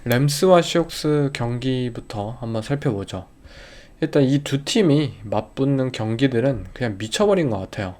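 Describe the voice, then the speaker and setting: A man with an average-pitched voice, congested and a little hoarse from a cold. He talks like a sports analyst hosting a review show, explaining step by step and dropping in English jargon. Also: lively intonation, normal speed, a little mellow. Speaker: podcast host analysing football games.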